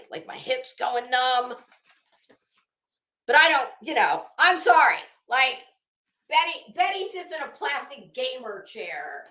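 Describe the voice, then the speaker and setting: A woman's voice: mid-range voice, sharp tone, echoey room. A middle-aged woman hosting a casual livestream, rambling through stories in a sassy, wry, profane way. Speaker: livestream host.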